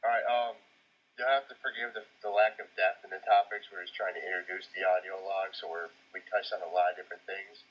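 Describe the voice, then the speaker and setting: A man's voice: low-pitched, little pitch movement, a little fast, slightly rough. A man co-hosting a podcast in casual conversation, recorded with dull, lo-fi sound. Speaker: podcast co-host. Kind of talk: casual chat about video games. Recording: muffled, lo-fi voice recording.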